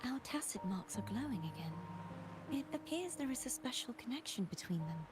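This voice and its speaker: A woman voicing a solemn, searching amnesiac female resonator in a video game, speaking in formal, measured phrases. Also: a little high, very animated. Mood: questioning, solemn. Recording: clear music behind.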